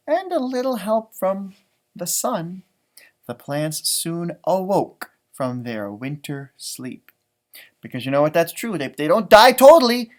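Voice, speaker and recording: An American man reads a picture book aloud to young children in a teacher's expressive way. He draws out key words for emphasis and slips between the written story and chatty asides. His explanations are simple and aimed at small listeners. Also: a little high. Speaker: elementary music teacher reading storybooks aloud.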